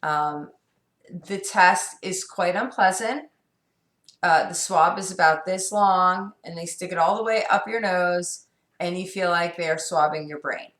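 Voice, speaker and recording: Middle-aged woman with a scratchy sore throat, lowish voice, rambly vlog talk in an echoey room. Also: measured pace, a bit bright. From New York. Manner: rambling, candid, frequent fillers and restarts. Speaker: vlogger. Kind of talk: casual personal vlog.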